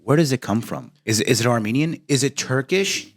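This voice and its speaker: A man's voice: mid-range voice, animated, a little fast. A man hosting a casual podcast interview who asks questions and chimes in with short agreements. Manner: brief affirming interjections and questions. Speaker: podcast host.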